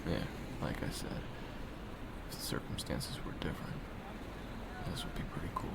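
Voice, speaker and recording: A young man in an audio roleplay, talking in low, sardonic murmured asides. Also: wildly swooping pitch, moderate pace, creaky, very noisy. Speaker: roleplay voice actor playing assassin roommate.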